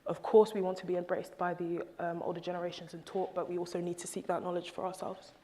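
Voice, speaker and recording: Young woman panelist, low and flat delivery, measured, long hall reverb. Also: a little hiss or hum. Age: young adult. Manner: thoughtful, measured, with frequent ums. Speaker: youth panelist. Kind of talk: panel discussion answer.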